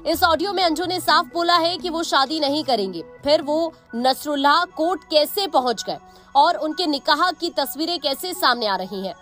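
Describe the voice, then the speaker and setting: A woman's voice: high-pitched, lively intonation, normal speed, fairly bright tone. A woman with an Indian accent reading a scripted news report as narrator. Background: background music.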